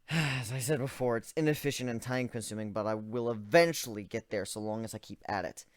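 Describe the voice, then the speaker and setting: A man performs a young griffin inventor in a dramatic storybook reading. He is methodical and analytical, with a weary, exasperated streak. The voice sits at a middle pitch in a very dry space. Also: moderate pace, no room sound, close to an everyday voice. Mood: focused, explaining. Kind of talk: dramatic reading of first-person fan fiction.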